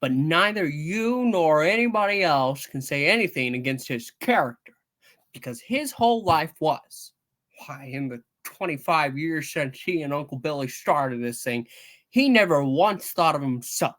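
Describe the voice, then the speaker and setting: A man's voice: on the high side, animated, unhurried, fairly bright tone. A man playing an earnest, indignant young man who stammers through an impassioned defence in an amateur radio-play reading. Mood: earnest protest.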